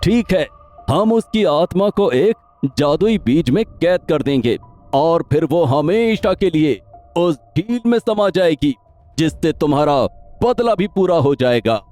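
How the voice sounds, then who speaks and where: A man's voice: a little high, sing-song, unhurried, dark tone, faint music behind. A man voicing a sinister, boastful sorcerer in a theatrical, gloating manner for a cartoon moral story. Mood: sly, boastful. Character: sinister male sorcerer, gleefully scheming and boastful.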